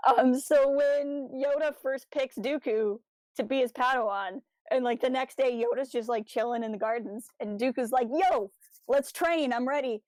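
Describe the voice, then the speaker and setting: A woman co-hosting a podcast, talking casually as a fan and telling stories in an animated, slangy, enthusiastic way. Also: high voice, moderate pace, no echo, low-quality recording. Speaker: podcast co-host.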